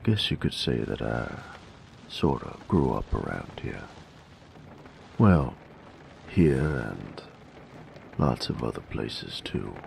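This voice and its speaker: A man playing a gruff, jaded drifter bandit in a roleplay audio drama, with a gravelly voice and a sardonic, folksy drawl. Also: very animated, slow, pauses often, slight background noise, full character voice. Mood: wry, musing. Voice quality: gravelly, very low voice. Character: gruff, jaded male bandit who grew up drifting with a carnival.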